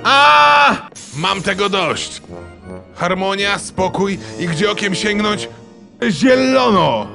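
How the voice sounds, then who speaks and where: A man playing a petulant, theatrical dark god in a comic fantasy game cutscene, whiny and melodramatic, with a creaky voice. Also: high-pitched, animated, slow, full character voice. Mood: exasperated. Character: petulant, theatrical villainous dark god who loathes green. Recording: music under the voice.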